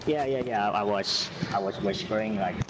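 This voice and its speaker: A man chats casually over voice chat, laid-back and explaining game tips as he plays. His voice sits on the low side. Loud game sounds, gunfire and engines, run heavily under his mic. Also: normal speed, background noise. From Hong Kong. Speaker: gamer in online co-op. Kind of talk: casual voice chat while gaming. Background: game sounds, gunfire and vehicles.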